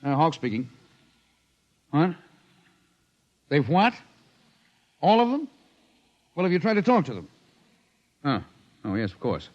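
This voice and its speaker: A man's voice: on the high side, sing-song, measured pace, pauses often. An actor playing a curt, impatient male boss in a 1950s radio drama. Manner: curt and brusque. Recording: dull old radio broadcast.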